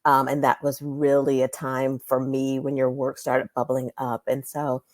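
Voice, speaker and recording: A woman's voice: rumbling low, little pitch movement, a little slow, dry recording, clean studio sound. A woman speaking as a podcast host, conversationally leading an interview. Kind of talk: warm-up interview questions, conversational.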